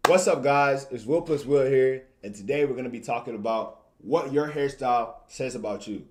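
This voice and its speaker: A man's voice: medium pitch, moderate pace, darkish tone. A young man from Tanzania chatting casually on a livestream in informal slang, repeating phrases for emphasis. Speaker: streamer and video creator.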